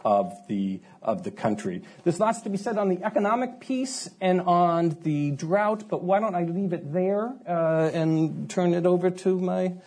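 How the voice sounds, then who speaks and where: Man panelist, husky and mellow, halting delivery. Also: high voice, expressive. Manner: halting, many "uh" fillers. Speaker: panel speaker. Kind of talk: academic panel talk.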